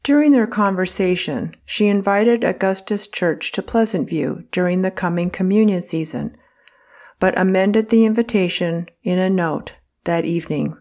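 A woman reading a historical account aloud as narrator, in a measured, earnest way. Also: on the low side, darkish tone. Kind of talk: scripted narration.

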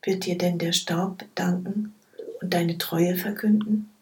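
A woman reading Bible passages aloud in a soft, hushed, measured way. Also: lowish voice, steady tone, low-quality recording. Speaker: scripture reader. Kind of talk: slow, quiet Bible reading.

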